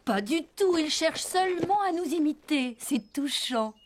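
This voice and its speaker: A woman's voice: high voice, expressive, normal speed, noisy background. A woman voicing a kind, reassuring talking horse in a French cartoon dub. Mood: gentle, reassuring.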